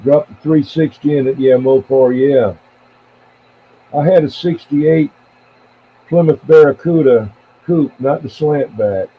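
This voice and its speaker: An American man in his seventies chatting casually on CB radio in a halting, rambling, reminiscing way. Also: medium pitch, slightly rough. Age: 70s. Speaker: CB radio operator and live streamer. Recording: tinny band-limited radio audio with faint noise.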